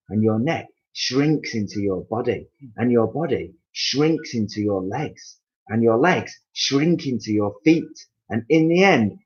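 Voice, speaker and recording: A man's voice: mid-range voice, darkish tone, dull audio, voice pushed up, close to an everyday voice. A man voicing a nasty old man who taunts and jeers at his wife in a storybook reading. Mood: mock-solemn. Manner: taunting, jeering. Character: cruel gloating old man, nasty prankster.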